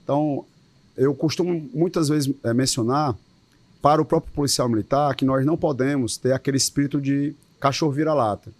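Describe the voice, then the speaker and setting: Um homem com sotaque cearense, presidente de uma associação de policiais, dá uma entrevista com tom sério e enfático e repete palavras às vezes. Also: male voice, mid-range voice, brisk, darkish tone, no room sound. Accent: Cearense Portuguese. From Ceará, Brazil. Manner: earnest, emphatic, with repeated words. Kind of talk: interview answers.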